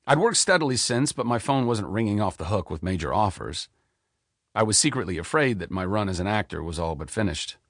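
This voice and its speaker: Expressive man reading his own memoir. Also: a little fast, no echo, clean studio sound. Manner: expressive, candid storytelling with wide pitch swings. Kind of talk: audiobook memoir narration.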